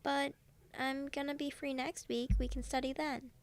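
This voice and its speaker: A woman's voice: high voice, little pitch movement, normal speed, no echo. A woman playing an easygoing, supportive student friend in an audio drama, talking in a casual, encouraging way. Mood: casual, upbeat.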